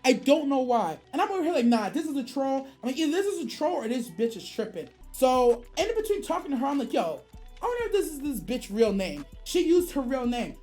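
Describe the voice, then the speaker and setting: An American woman telling a story casually on a livestream, animated, profane and slangy, acting out the dialogue she quotes. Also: sits high, brisk. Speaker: streamer. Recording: music behind the voice. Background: music.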